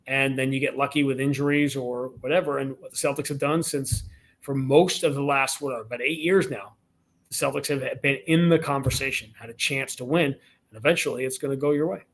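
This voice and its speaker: A man, a radio play-by-play announcer, giving emphatic sports analysis as a guest, with quick restarts. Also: medium pitch, a bit bright, low-quality recording. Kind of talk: casual sports interview.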